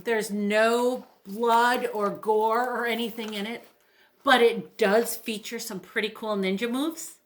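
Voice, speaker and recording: A middle-aged woman with a very bright, crisp-edged voice pitched a little high. She talks like a librarian sharing recommendations, informal and chatty, with open enthusiasm. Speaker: librarian presenting book recommendations. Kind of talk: casual book talk, recommending books.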